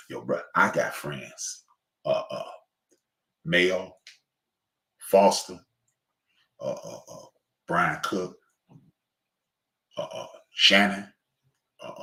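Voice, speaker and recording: A man's voice: sits low, echoey room. A man with a Chicago accent hosting a casual livestream monologue, rambling and preachy, full of fillers and restarts. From Chicago West Side. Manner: rambling, preachy, many fillers and restarts, shout-outs to friends. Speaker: livestream host.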